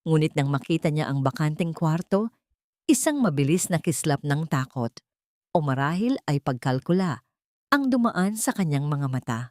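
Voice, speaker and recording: A woman delivers dramatic scripted narration for an audio drama. Her voice sits low, and the sound is close and dry, with very little room around it. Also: animated, normal speed, darkish tone, dry recording.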